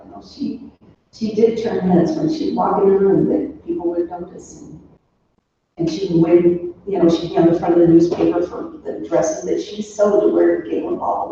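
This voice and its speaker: A woman's voice: medium pitch, mellow. A woman in her sixties giving an informal, rambling remembrance full of anecdotes and trailing asides, recorded from a distance in a roomy space. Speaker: daughter giving a remembrance at a funeral. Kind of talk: informal spoken eulogy, reminiscing. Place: echoey room, away from the microphone. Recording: roomy, distant, muffled recording with faint noise.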